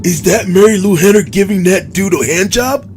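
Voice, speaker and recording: A man's voice: high voice, normal speed, a little mellow, faint music behind, close to an everyday voice. A man playing a laid-back, starstruck champion wrestler with a casual, eager manner in an audio drama. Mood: amazed.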